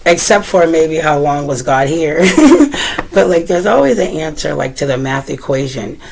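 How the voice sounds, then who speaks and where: A woman tarot reader with a deep, slightly rough voice, still getting over a cold, talking gently and meandering as she speaks to the viewer and reads aloud from a guidebook. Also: moderate pace, a little hiss or hum. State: recovering from a cold. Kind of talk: casual tarot reading, partly read aloud from a guidebook.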